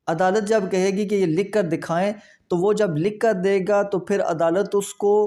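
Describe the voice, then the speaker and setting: A Pakistani man lectures in a notably high voice for a male speaker, with a soft, mellow tone. He explains methodically, one step after another, restating each point as a legal instructor would.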